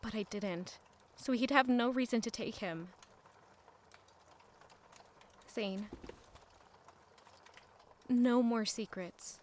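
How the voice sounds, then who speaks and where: An actress playing a gentle, worried young noblewoman in an audio drama, speaking softly and earnestly. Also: a little high, takes it slowly, slight background noise.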